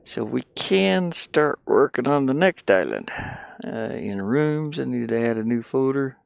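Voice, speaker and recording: A man casually thinking out loud in an offhand, muttering way as he works through game development on screen. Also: mid-range voice, expressive, measured pace, gravelly, no echo. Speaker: indie game developer, streamer. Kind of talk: casual think-aloud screen-share commentary while working.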